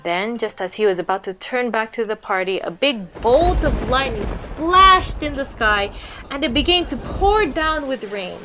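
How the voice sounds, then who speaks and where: Expressive woman storyteller, noisy recording. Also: fairly high pitch, normal speed. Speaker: children's storyteller. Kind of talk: storybook read-aloud.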